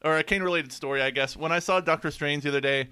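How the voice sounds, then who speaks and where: A man's voice: fairly high pitch, rapid-fire, bright, forceful tone, clean tone. A man co-hosting a podcast, chatting casually and telling anecdotes. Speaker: podcast co-host.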